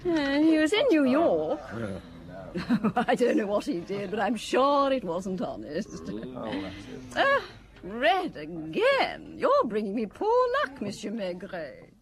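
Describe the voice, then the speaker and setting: Flippant gambling mother, very animated. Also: on the high side, slow pace, heavily acted. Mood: flippant, wry. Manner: breezy, distracted, chuckling offhandedly. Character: callous middle-aged ex-dancer mother, gambling addict, flippant. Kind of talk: radio drama acting. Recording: busy crowd, casino scene. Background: casino crowd chatter.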